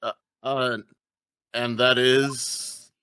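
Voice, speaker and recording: A man's voice: mid-range voice, level tone, very slow, pushed and bright, slight background noise. A man voicing a flustered middle-aged father and professor in a fan dub of a game, stammering as he defends himself.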